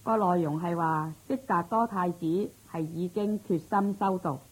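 A woman's voice: on the low side, moderate pace, slightly rough, low-quality recording. A woman presenting a scripted introduction to a radio drama as its host.

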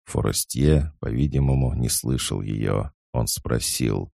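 A male audiobook narrator reading a classic novel in a measured, even way. His voice sits extremely low, heavy with gravel and dark in tone. The recording is dry and close to the mic. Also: no room sound.

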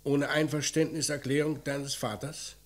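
An actor playing a gruff, sardonic police commissioner in an old German radio crime drama, brusque and commanding with a mocking, fatherly sternness. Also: on the high side, little pitch movement, normal speed, natural voice. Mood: sardonic, probing. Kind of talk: mid-20th-century German radio crime drama acting.

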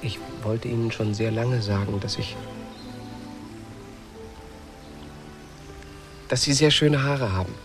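A man in a radio play, playing a shy, grumpy settler on a far planet in a halting, grumbling manner. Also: medium pitch, over music, lightly acted. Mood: shy, tender. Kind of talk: German radio play acting.

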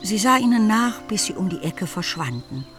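A woman's voice: mid-range voice, lively intonation, moderate pace, fairly bright tone, soft background music, lightly acted. A woman performing as the narrator of a period novel in a radio play, in scripted literary narration. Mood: composed.

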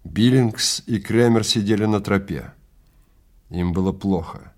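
A man narrating a story in a theatrical, deliberate manner with dramatic pauses. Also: lowish voice, a little mellow.

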